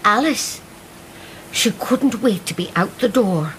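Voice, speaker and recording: An elderly woman recalls her mill days in a radio play, wistful and bitter by turns. She speaks at an ordinary pitch, with a faint hiss of noise behind her. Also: expressive, takes it slowly, a bit bright, poor audio. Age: older. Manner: reminiscing, drifting into song. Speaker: Missus O'Hare. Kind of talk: radio drama acting.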